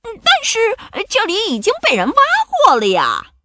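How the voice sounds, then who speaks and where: Woman voicing an excitable, high-pitched storybook pirate. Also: normal speed, no echo, clean studio sound, heavily acted. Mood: puzzled. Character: excitable storybook pirate crew member, shrill and eager.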